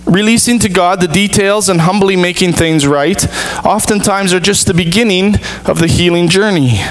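A man in his thirties, a pastor, preaching an earnest sermon with measured, deliberate pauses from a church stage. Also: high-pitched, faint music behind, low-quality recording.

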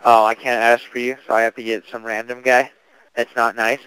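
A young man speaks casually on a prank call. His voice is clear and dryly recorded, with little room sound. He plays the earnest customer, slangy and full of questions. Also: medium pitch, level tone, a little fast, fairly bright tone, no echo, rough recording. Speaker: prank caller.

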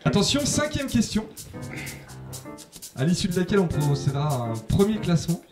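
Young man, quiz host, deadpan announcements, fairly high voice, studio, handheld mic, loud music behind. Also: brisk. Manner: dry, formal announcements. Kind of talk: deadpan scripted quizmaster. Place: bright TV studio set, indoors. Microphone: handheld microphone.

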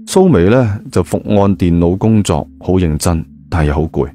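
A low-voiced man narrates an audio novel in colloquial Hong Kong Cantonese. His tone is dark and mellow, with faint music behind him. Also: expressive, normal speed. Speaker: storyteller.

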